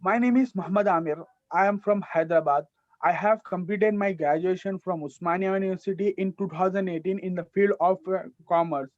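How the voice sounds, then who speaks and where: A young man with an Indian English accent recites a practised self-introduction in a fairly high voice, stumbling and restarting now and then. The audio is dry and dull, like a video call. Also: fairly quick, no room sound. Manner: recites rehearsed lines with small restarts. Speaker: student.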